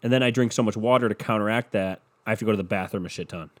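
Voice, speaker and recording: A man's voice: fairly low pitch, racing. A stuffy-nosed young man with a sore throat, hosting a podcast alone and rambling in a loose, profane, joking way. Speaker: podcast host. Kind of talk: casual solo podcast rant.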